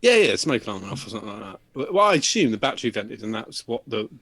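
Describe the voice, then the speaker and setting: A man's voice: medium pitch, big pitch swings, fast talker, fairly bright tone. A man co-hosting a show in casual banter, with a sweary, sardonic, joking manner. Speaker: show co-host presenting news.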